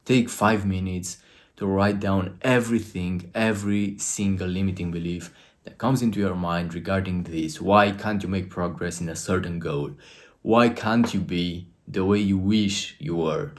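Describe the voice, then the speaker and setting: A man's voice: sits low, steady tone, unhurried. A man from Romania with a Romanian accent, giving an earnest, emphatic motivational talk to camera as a coach.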